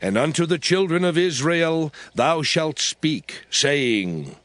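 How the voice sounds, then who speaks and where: A man's voice: fairly high pitch, animated, slow pace, fairly bright tone, dry recording. A man playing a solemn, commanding prophet who declaims instructions to priests in a dramatized scripture reading. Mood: commanding, exhortatory.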